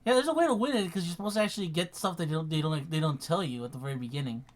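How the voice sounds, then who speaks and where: A man's voice: a little high, very fast. A man chatting casually while playing a video game, swearing and repeating himself in a distracted way. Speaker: player on a video game stream. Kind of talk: casual chat while gaming.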